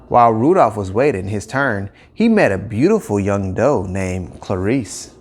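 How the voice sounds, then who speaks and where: A man narrating a scripted children's story with expressive, emphatic phrasing. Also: lowish voice, a little slow, slight background noise.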